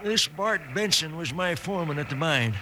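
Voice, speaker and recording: An actor playing a battered, stubborn American mine owner, weakened and halting, in a 1950s radio western. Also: male voice, on the high side, lively intonation, pushed and bright. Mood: bitter, weary. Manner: halting, rustic speech. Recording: slightly noisy old radio recording.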